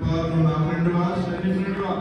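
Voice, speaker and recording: A man playing a high-voiced, sly devil puppet in an echoey hall. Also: level tone, quick pace, highs cut off, close to an everyday voice. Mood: bossy, menacing. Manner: bossy, coaxing, taunting. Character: sly horned devil puppet named Lucy, tempting children with chocolate. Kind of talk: Sunday school puppet show. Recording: echoey hall, far mic, background noise.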